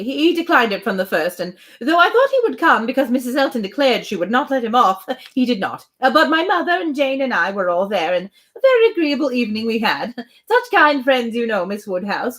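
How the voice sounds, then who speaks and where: A woman's voice: a little high, fairly bright tone. A woman reading a classic novel aloud, voicing a middle-aged spinster who chatters on in a breathless, anxiously grateful, repetitive ramble.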